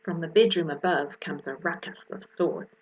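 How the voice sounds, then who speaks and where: A woman's voice: sits low, moderate pace, a little mellow, dull audio. A woman with a New Zealand accent reading a rhyming children's story expressively, as its author. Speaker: author reading her own book.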